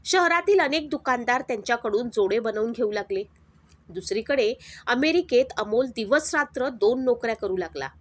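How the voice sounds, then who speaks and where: A woman narrating a moral story in a steady, dramatic storytelling manner. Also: fairly high pitch, moderate pace.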